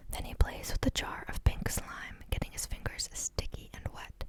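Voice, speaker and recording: Woman reading aloud in a whisper. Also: moderate pace. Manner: soft unvoiced whisper throughout; reads steadily, stumbles and restarts on words. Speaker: reader.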